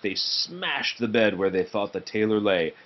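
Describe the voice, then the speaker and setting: A man reading a children's storybook aloud in an expressive narrating style. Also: fairly low pitch, a little slow, highs cut off. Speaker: storybook reader.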